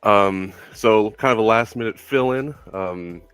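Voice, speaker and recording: Deep-voiced young man hosting a stream. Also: unhurried, clear, resonant tone, no room sound. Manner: relaxed, uses many ums and likes. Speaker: stream host. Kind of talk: casual stream Q&A.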